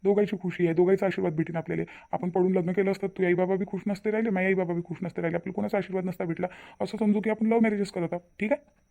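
A man plays a devoted young cartoon fiance with a very mellow, dark voice and a Varhadi Marathi accent. He talks quickly and colloquially, repeating himself for emphasis as he reassures and insists. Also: sits high, flat delivery, gravelly. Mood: persuasive. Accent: Varhadi Marathi from Vidarbha. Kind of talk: cartoon family drama dialogue.

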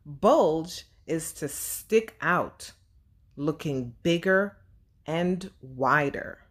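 A woman teaching young children and reading a storybook aloud, speaking simply and deliberately and putting questions to her listeners. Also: low voice, lively intonation.

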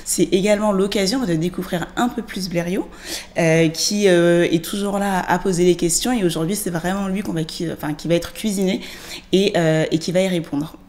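A young woman with a slightly low voice steers the interview with curious follow-up questions. She often pauses and rephrases as she goes, speaking into a stand mic in a studio. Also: a little mellow. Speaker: guest interviewer. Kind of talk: conversational interview. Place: studio set with plants and glass-block wall.

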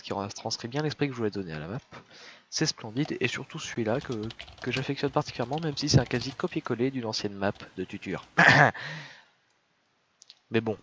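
A man's voice: medium pitch, a little hiss or hum. A tired man with a head cold hosting a gaming video, talking casually and hesitantly with many fillers. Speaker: host of a Minecraft server series.

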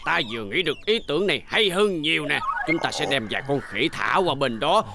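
A man's voice: a little high, expressive, a little fast, gravelly, background noise. A man voicing a scheming, boastful gangster chief in a cartoon dub, bossy and scornful. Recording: music playing behind.

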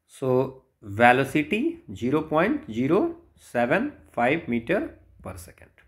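A man with an Indian accent working step by step through exam problems as a physics tutor, explaining and often checking in. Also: medium pitch. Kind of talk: step-by-step exam solution walkthrough.

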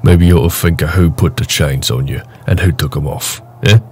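A man playing a big, gruff, protective orc raider with a wry, casual manner in a fantasy roleplay audio drama. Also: very deep voice, brisk, darkish tone, a little hiss or hum. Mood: firm, matter-of-fact. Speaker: voice actor.

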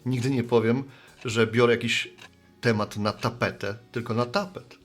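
A man's voice: mid-range voice, fairly even intonation, moderate pace, soft background music, close to an everyday voice. An actor playing a good-natured, sheepish middle-aged man, a postman and bar regular, talking in a folksy, rambling way in a radio play. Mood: reflective.

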